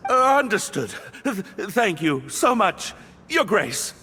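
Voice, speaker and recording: A man's voice: high-pitched, sing-song, slow, pushed and bright, long reverb tail, a little hiss or hum, full character voice. A man voicing a nervous, shy young male prisoner who stammers and hesitates. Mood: flustered.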